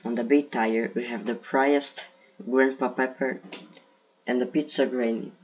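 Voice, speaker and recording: A man's voice: medium pitch, steady tone, measured pace, slight background noise. A man from Brazil with a Brazilian accent, speaking English as a second language, casually ranking game characters while thinking aloud. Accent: Brazilian Portuguese. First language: Portuguese. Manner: hesitant, thinking aloud with fillers. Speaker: gaming video creator. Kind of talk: casual tier list commentary. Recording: dull lo-fi mic.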